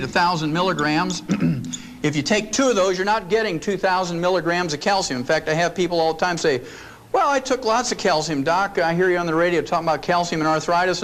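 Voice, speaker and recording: A man's voice: sits high, a bit bright, a little hiss or hum, rough recording. Folksy older man lecturing a crowd. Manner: folksy, storytelling, joking. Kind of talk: folksy seminar talk. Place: meeting room before an audience.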